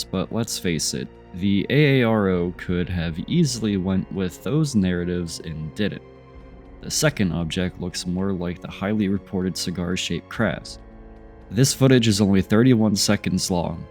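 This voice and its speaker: A man with a very low voice and a stuffy, congested sound narrates clips in a skeptical voice-over. He keeps asking pointed questions about what the footage shows. Soft music plays faintly underneath. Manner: skeptical, poses rhetorical questions. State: congested, nasal from a cold. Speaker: channel host narrating UFO footage. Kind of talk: voice-over commentary on video clips.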